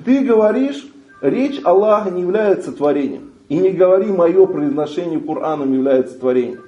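Male religious teacher, reads a text and explains it line by line, mellow voice, slightly dull lo-fi sound. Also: fairly high pitch, reverberant. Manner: reads a passage aloud, then translates phrase by phrase. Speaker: Islamic teacher.

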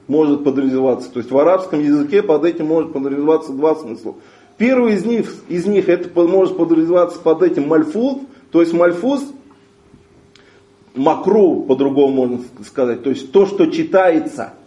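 Male religious teacher, reads a text and explains it line by line, mellow voice, slightly dull lo-fi sound. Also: on the high side, reverberant. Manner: reads a passage aloud, then translates phrase by phrase. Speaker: Islamic teacher.

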